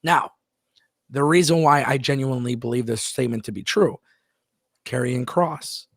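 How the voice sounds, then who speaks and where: A man hosts a live wrestling talk show with a gravelly edge to his voice. He talks emphatically and stops now and then to read out viewer messages. The sound is dry and close to the mic. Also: mid-range voice, moderate pace, no room sound. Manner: emphatic, repeats words, reads viewer messages aloud. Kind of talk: casual live-stream chat.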